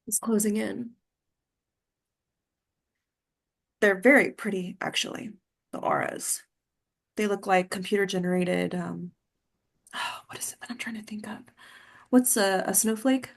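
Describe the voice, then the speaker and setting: A woman playing an exhausted but devoted mother, halting and conversational, in an informal script read-through. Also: mid-range voice, steady tone, vocal fry. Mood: weary, drifting. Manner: halting, conversational, searching for words.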